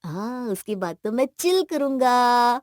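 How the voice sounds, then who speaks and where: A woman's voice: fairly high pitch, lively intonation, unhurried, darkish tone, no room sound, studio quality. A woman voicing a cheeky, clingy younger brother with a whiny, teasing manner in a family drama.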